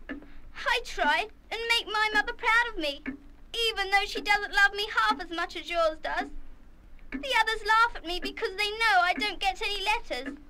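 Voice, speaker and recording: A woman's voice: very high voice, normal speed, bright, forceful tone, slight background noise. A woman playing a sensitive, earnest schoolgirl with a plaintive manner in a radio play. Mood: sad, plaintive. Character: lonely, sensitive, sincere schoolgirl. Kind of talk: full-cast radio play acting.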